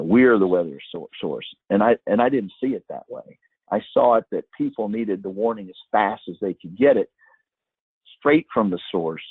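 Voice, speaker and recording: A middle-aged man with a husky voice giving an informal, conversational webinar talk as presenter, explaining things and reminiscing. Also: on the low side, no echo. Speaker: webinar presenter, former broadcast meteorologist. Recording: muffled narrow phone-like line.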